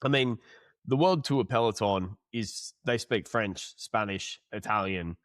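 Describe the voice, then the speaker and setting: Man, host, low voice, chatty long questions, dry close mic. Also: measured pace, no room sound. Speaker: podcast host. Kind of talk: casual interview chat.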